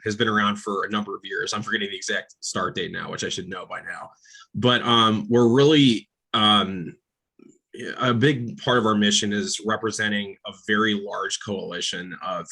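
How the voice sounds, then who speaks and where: A man with a low, gravelly, husky voice talks casually on a video call webinar. He speaks easily, with a bright edge to his tone and plenty of fillers. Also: poor audio. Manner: relaxed, filler-heavy, laughs easily. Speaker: environmental council staffer. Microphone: computer mic on a video call.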